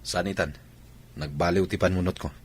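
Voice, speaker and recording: A man's voice: low voice, fairly even intonation, normal speed, slightly rough. A man acting a jealous, brusque husband in a radio drama. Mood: defensive.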